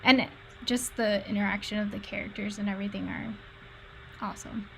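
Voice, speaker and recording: A woman's voice: mid-range voice, steady tone, fairly quick, gravelly, noisy background. A woman chatting casually and encouragingly over a video call. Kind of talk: casual chat.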